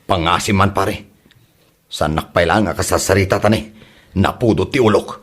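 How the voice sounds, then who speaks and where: Man acting husky, low-voiced Ilocano politician. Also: normal speed. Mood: irritated. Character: worried, irritable losing election candidate. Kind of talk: Ilocano comedy radio drama.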